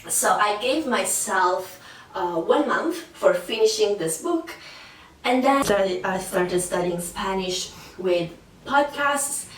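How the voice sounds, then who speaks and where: A young woman from Sicily with an Italian accent, chatting casually to camera about learning languages, with many fillers and restarts. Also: medium pitch. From Sicily, Italy. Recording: echoey room, voice a bit far from mic.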